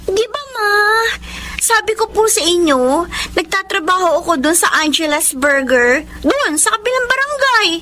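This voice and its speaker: A woman's voice: very high voice, moderate pace, a little hiss or hum, exaggerated voice. An actress playing a poor young Filipino woman in an emotive radio drama, a dutiful daughter working nights. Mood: dutiful, evasive. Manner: emotive, expressive. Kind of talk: radio drama acting and first-person letter narration.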